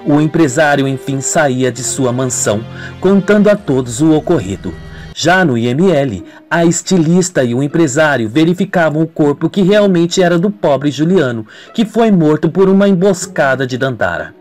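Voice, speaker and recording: A man's voice: on the high side, animated, moderate pace, a little mellow. A man narrating a scripted radio soap opera as a dramatic storyteller. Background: music.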